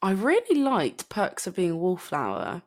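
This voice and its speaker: A woman co-hosting a book podcast in casual chat, her deep voice croaky from a cold, chatty and self-deprecating. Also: wildly swooping pitch, moderate pace. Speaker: podcast co-host.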